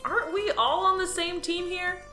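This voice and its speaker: A woman's voice: very high voice, normal speed, sharp tone. A woman voicing a cheerful, naive male alien on his first day at work in an audio drama. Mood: eager. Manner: cheerful, upbeat, earnest. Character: eager naive male alien new hire. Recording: roomy echo, faint music.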